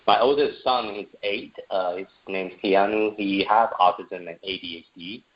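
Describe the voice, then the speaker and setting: Low-voiced guy from taiwan, earnest, lots of uh's, dull lo-fi sound. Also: normal speed. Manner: earnest, with frequent uh fillers. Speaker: guest, entrepreneur and parent. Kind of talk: interview answers.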